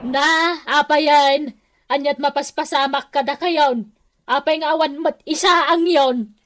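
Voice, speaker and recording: A woman's voice: high-pitched, steady tone, unhurried, fairly bright tone. A woman playing a disapproving older mother in a radio comedy-drama, preachy and reproving, with an Ilocano accent. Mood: puzzled. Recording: clearly noisy audio.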